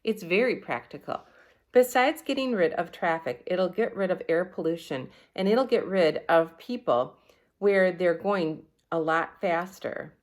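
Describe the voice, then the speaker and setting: A woman's voice: on the low side, unhurried, lightly acted. A woman voicing an earnest, opinionated schoolboy from a storybook, in an emphatic, persuasive manner. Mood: arguing.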